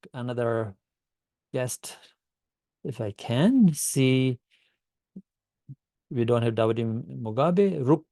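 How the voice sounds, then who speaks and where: A man's voice: mid-range voice, a little mellow, no room sound. A man hosting an online club meeting, greeting guests in a friendly, upbeat way over a video call.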